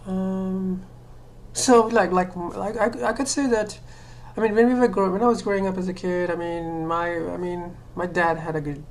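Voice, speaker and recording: Low-voiced woman, hesitant and musing. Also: steady tone, moderate pace, a little hiss or hum. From Sri Lanka. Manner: hesitant, many fillers and restarts, laughs self-consciously. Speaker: vlogger. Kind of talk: casual personal monologue.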